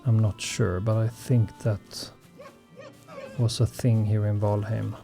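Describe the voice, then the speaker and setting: A man's voice: fairly low pitch, little pitch movement, vocal fry, warm tone, faint music behind. A man whose first language is Swedish, commenting on his survival gameplay in a hesitant way full of ums and uhs. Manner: slow, hesitant, many fillers. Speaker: gaming channel host.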